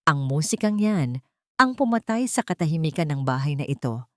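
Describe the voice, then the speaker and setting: A woman's voice: deep voice, very animated, moderate pace, a bit bright, no room sound, studio quality. A woman voicing a cold, cruel elderly mistress of a mansion in an audiobook, stern and commanding. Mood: threatening.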